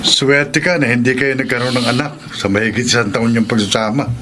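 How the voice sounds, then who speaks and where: A man's voice: mid-range voice, brisk, very noisy, close to an everyday voice. A Filipino man playing a supportive, frank friend giving advice in a radio drama. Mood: reassuring. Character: husband's male friend, supportive, frank adviser.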